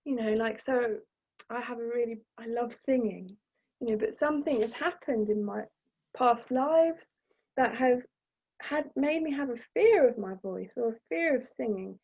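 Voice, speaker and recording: A woman with a somewhat high, husky voice talks in a flowing, gentle way about the stars and the soul. The sound is thin and tinny, as if heard over a phone line. Small fillers run through her sentences as she goes. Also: unhurried. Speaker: astrology presenter. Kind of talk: spiritual talk.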